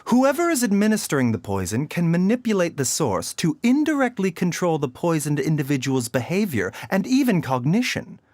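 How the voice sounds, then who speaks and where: A man voicing a composed, scholarly healer in a video game, soft and measured, courteous with a sly teasing formality. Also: on the high side, huge pitch swings, no room sound. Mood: calm, analytical. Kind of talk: video game character acting.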